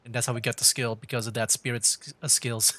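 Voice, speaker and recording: A man's voice: mid-range voice, flat delivery, a little fast, sharp tone, no echo. A man giving casual livestream commentary as he plays a video game. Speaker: streamer.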